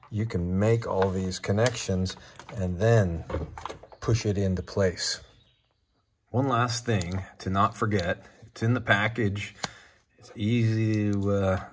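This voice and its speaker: A man with a fairly low voice presents a hands-on how-to in a casual, off-the-cuff style. He explains each step as he works, with a halting, hesitant flow. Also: slight background noise. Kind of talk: casual off-the-cuff installation walkthrough.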